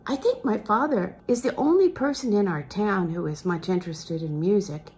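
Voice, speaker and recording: A woman's voice: mid-range voice, expressive, moderate pace, a little mellow, voice pushed up, natural voice. A woman voicing a shy young small-town music student in a novel reading, modest and hesitant. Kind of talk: storybook character reading from a literary novel.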